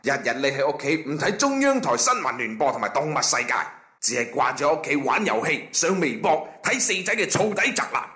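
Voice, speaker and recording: An actor playing a stern, scornful company interviewer in a comic radio drama, formal and lecturing, with a Guangzhou Cantonese accent. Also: male voice, fairly high pitch, big pitch swings, a little fast, sharp tone. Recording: echoey room.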